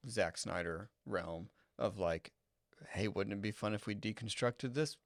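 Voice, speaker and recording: A man's voice: lowish voice, measured pace, no room sound, clean studio sound. A man co-hosting a casual podcast discussion, thinking aloud in a hesitant, conversational way. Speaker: podcast co-host.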